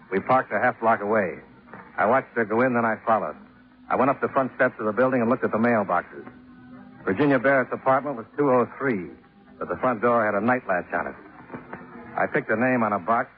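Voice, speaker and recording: An actor playing a wry, hard-boiled, unflappable private investigator in deadpan 1950s radio noir style. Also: male voice, on the low side, a little fast, lightly acted. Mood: wry narrating. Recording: tinny old radio recording, music behind.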